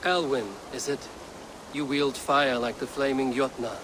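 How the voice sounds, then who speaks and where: A stern warrior played by a man with a mid-pitched voice, terse and dryly confrontational. It is game cutscene acting over loud outdoor ambience. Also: fairly even intonation, a little slow, noisy background, natural voice. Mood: wry, probing. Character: stern, wry adult Viking warrior.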